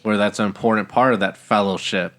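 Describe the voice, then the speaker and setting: A man under fifty with an American accent co-hosts a casual chat. His voice is a little on the low side. He speaks informally and conversationally. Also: fairly even intonation, brisk, fairly bright tone.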